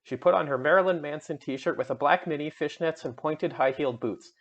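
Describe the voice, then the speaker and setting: Man playing an overwrought, husky teenage goth vampire girl. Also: a little high, a little fast. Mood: earnest, dramatic. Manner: overwrought, emphatic, petulant. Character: melodramatic seventeen-year-old goth vampire schoolgirl narrator. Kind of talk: dramatic fan fiction reading.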